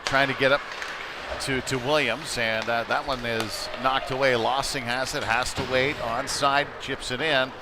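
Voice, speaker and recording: A man giving conversational live play-by-play commentary of a youth hockey game, ready to break into excited calls. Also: mid-range voice, measured pace. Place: indoor ice rink during a game. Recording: clearly noisy sports rink behind voice.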